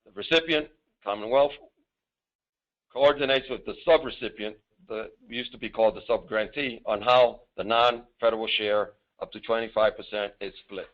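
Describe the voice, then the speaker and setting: A man giving a formal, mostly scripted presentation as a government official on a conference call, reading deliberately in short, halting phrases. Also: fairly low pitch. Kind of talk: formal scripted presentation with some improvised asides. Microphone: telephone. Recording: narrow tinny phone conference line.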